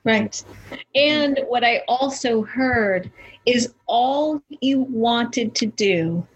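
A woman's voice: fairly high pitch, very slow, breathy edge, poor audio. A woman co-hosting a podcast interview, effusive and affirming, often tagging her points with "right?". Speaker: podcast co-host.

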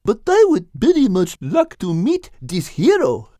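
A man's voice: sits high, huge pitch swings, unhurried, dark tone, no echo, studio quality, voice pushed up, exaggerated voice. A man voicing a friendly, polite female giraffe in a storybook reading, courteous and full of wonder.

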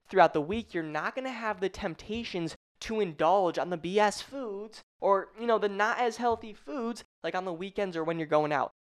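A woman's voice: mid-range voice, a bit bright. A woman fitness coach running a live online training, hyped and swearing casually, a bit congested from a cold. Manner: hyped, swears casually, addresses viewers by name. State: congested, a little stuffy. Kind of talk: energetic live-stream coaching talk.

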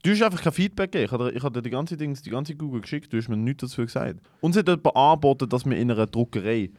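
Fast-talking podcast co-host. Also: male voice, medium pitch, lively intonation, a little mellow, dry recording, clean studio sound. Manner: quick, pushy, jumps between topics. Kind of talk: casual chat.

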